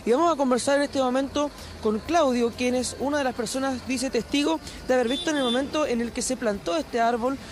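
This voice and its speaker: A man's voice: extremely high pitch, brisk, hardly any pauses, a little hiss or hum. A young man with a Chilean accent reporting live from a city street corner with a handheld mic, fluent and formal. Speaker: field reporter. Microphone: handheld microphone.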